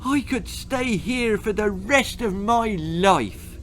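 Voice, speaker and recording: A man's voice: high voice, animated, slow, fairly bright tone, slight background noise, pitched above the performer's own voice, exaggerated voice. A man voicing a headstrong, untidy young girl in a children's story, speaking emphatically and full of protest. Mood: eager, amazed.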